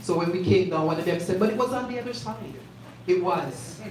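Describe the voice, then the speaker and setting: A woman emcee speaks to a room full of people, repeating words for emphasis and building warmth as she goes. Her voice comes from across a very echoey space, picked up from a distance. Steady background noise sits under everything. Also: fairly low pitch, brisk. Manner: warm, repetitive, emphatic, animated. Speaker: event host. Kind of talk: live event speech to a crowd. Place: community event space indoors.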